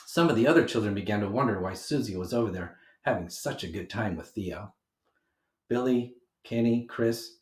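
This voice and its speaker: A man reading a children's picture book aloud with animated, emphatic delivery for young listeners. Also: fairly low pitch. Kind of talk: expressive children's read-aloud narration. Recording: roomy homemade recording.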